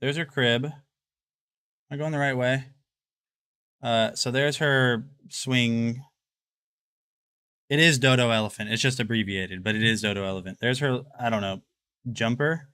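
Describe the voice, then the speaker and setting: A man in his twenties talks to his livestream chat in a loose, rambling way, trailing off and restarting as he moves through his house. His voice is bright and forward. The sound is dry, with little room echo. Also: mid-range voice, measured pace, long pauses, smooth. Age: 20s. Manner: rambling, chatty, addresses chat. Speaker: livestream host. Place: home, walking through rooms.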